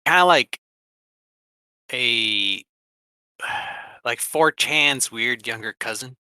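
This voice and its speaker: A man co-hosts a casual podcast in a very bright, forward voice. He speaks slowly and haltingly, sounding easily amused. The recording is close and dry. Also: medium pitch, clean tone, no echo. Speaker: podcast co-host. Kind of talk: casual chat.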